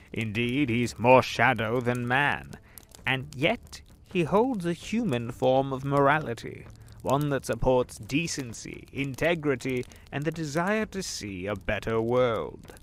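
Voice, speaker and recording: Man playing a slow-talking, sly old devil. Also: medium pitch. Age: older. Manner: theatrical, wordy, courteous, savoring phrases. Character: urbane, sly old devil hosting elite parties. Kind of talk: audio drama dialogue.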